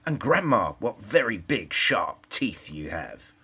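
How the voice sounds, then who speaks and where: A man's voice: lowish voice, sing-song, slow pace, bright, forceful tone, breathy edge, heavily acted. A man voicing an earnest, self-assured little girl in a storybook, lecturing in formal, indignant phrases. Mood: sweetly earnest.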